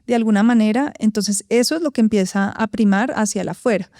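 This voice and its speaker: A woman from Colombia with a Bogotá accent, a guest speaking thoughtfully in an interview, often pausing to find words and adding tag questions. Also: mid-range voice, mellow, no room sound, studio quality. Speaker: guest, actress and vocal coach. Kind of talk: reflective interview talk.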